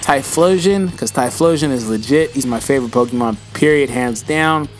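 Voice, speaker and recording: A man's voice: medium pitch, moderate pace, faint music behind. A young man answering viewer questions in a casual vlog, rambling and slangy and calling viewers bro, with his voice starting to go. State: losing his voice.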